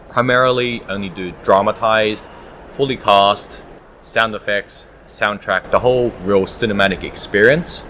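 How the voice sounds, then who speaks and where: A man's voice: low voice, a bit bright, a little hiss or hum. A man answering interview questions conversationally as an audiobook producer at a live event.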